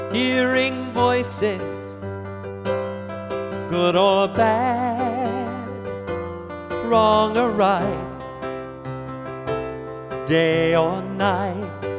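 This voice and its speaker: A woman singing a slow country-gospel song. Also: mid-range voice. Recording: loud musical backing. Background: country gospel music.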